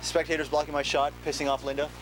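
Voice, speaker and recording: A man doing relaxed, joking play-by-play commentary at an outdoor game, with plenty of fillers. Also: on the high side, fast. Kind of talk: casual sports commentary. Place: outdoor sideline of a rainy field. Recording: noisy outdoor recording.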